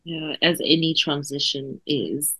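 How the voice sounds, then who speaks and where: A woman's voice: sits low, fairly even intonation, unhurried, sharp tone, breathy edge, poor audio. A woman with a South African accent interviewing an author in a bubbly, giggly way. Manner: bubbly, laughs often. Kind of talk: casual interview chat.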